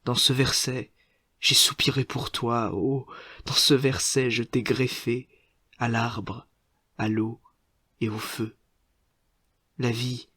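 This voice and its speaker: A man reciting literary poetry in a solemn, measured manner, setting short phrases apart with deliberate pauses. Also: medium pitch, bright, forceful tone, no echo, studio quality. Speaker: poetry reader.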